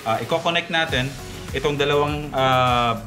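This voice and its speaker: A man's voice: medium pitch, measured pace. Young male vlogger, casual instructive tone, frequent check-ins, over background music. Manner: instructive, talks viewers through each step, frequent "okay?" checks. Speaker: moto vlogger demonstrating gear. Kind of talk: casual how-to walkthrough. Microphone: camera mic.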